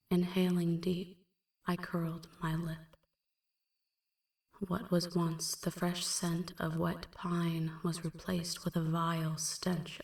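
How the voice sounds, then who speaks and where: A woman playing a melancholy female vampire in an ASMR roleplay, recounting a dark past in a hushed, breathy, measured half-whisper. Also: lowish voice, roomy sound, distant mic. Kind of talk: ASMR roleplay, slow dramatic first-person narration.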